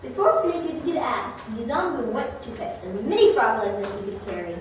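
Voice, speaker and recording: Lively high-voiced woman narrator, very echoey. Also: brisk. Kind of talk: scripted story narration. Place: large echoey room, recorded from afar. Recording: very echoey room, distant mic, background noise.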